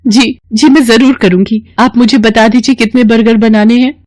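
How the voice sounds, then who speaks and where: A woman's voice: a little high, fairly even intonation, moderate pace, darkish tone, dry recording, pitched above the performer's own voice, lightly acted. A woman voicing an earnest, hopeful young wife and mother in a cartoon story, with a pleading manner. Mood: eager.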